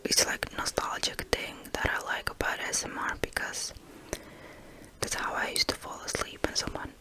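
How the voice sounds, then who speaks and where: A woman with a Croatian accent whispering ASMR, chatty and a bit hesitant, with light giggles. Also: moderate pace. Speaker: ASMR collab guest.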